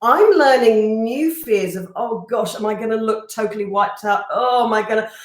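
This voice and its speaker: Chatty, bright-voiced woman co-host. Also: on the high side, normal speed. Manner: chatty, animated, laughs easily. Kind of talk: casual live-stream chat. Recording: roomy echo.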